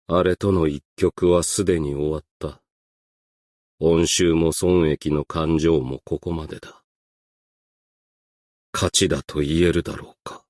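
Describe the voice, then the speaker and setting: Deep, unhurried, stern ancient strategist. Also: male voice, darkish tone, dry recording, clean studio sound, full character voice. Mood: solemn, resigned. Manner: formal, archaic, measured, reserved diction. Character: Wang, composed, stern, ancient male strategist and Go master. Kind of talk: scripted game character voice lines.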